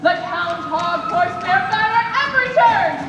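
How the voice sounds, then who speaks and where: A woman's voice: very high voice, animated, a little slow, highs cut off, loud music behind the voice. A female student actor performing Shakespeare theatrically in a school stage play. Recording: echoey hall from far off, faint noise.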